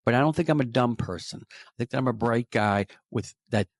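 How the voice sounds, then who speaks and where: A middle-aged man with a New York accent talks sales like a coach, driving points home by repeating them. His voice sits at an ordinary pitch. The recording is close and dry. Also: a little fast, dry recording. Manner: emphatic, repeats key phrases like a coach. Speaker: podcast guest, sales trainer. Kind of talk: conversational interview.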